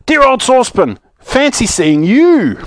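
A man voicing a hearty, teasing fellow in a children's story, loud and exclaiming. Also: extremely high pitch, big pitch swings, a little slow, dry recording, voice pushed up, heavily acted. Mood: amazed, hearty.

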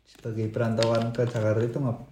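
A man's voice: medium pitch, completely flat delivery, fairly quick, mellow, reverberant, a little hiss or hum. A young man, hosting a casual podcast chat, joking and laughing often with slangy remarks. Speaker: podcast host.

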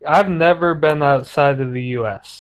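A male podcast host whose voice comes across smooth and resonant. He talks in a friendly, informal way and leans on casual fillers as he chats. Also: on the high side, moderate pace. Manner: friendly, informal, uses filler words like "like" and "you know".

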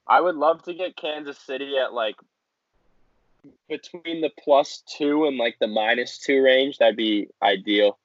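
A man's voice: mid-range voice, a little slow, no room sound. Man talking sports, dry dull recording. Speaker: sports podcast co-host. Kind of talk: casual sports commentary. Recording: dry, slightly dull lo-fi audio.

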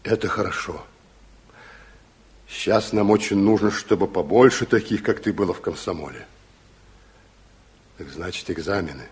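Casting: fatherly Soviet security officer, low and rough-edged, probing and earnest, vintage radio drama. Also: moderate pace, pauses often, a little mellow. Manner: probing, repeats words back. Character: weary Soviet secret policeman, earnest, watchful, fatherly. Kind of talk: 1960s Soviet radio play acting. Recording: muffled-edged 1960s radio drama recording.

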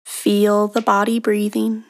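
A woman leading a guided meditation in a soothing, unhurried manner, with long pauses and soft, breathy phrase endings. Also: mid-range voice, completely flat delivery. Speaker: meditation guide, podcast host.

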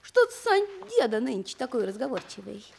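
A woman's voice: on the high side, sing-song, fairly quick, vocal fry, darkish tone, a little hiss or hum. A woman playing a young village boy, touchy and proud, with rustic childlike speech in a radio play. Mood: chatty, thoughtful.

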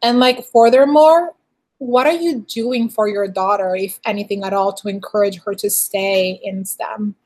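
A woman's voice: medium pitch, unhurried, darkish tone. A woman hosting an interview, asking long, rambling questions with many fillers. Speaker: podcast host.

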